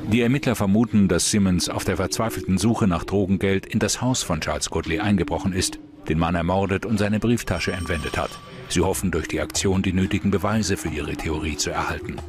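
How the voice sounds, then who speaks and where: Deep-voiced male true-crime narrator. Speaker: documentary narrator. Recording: music bed behind voice.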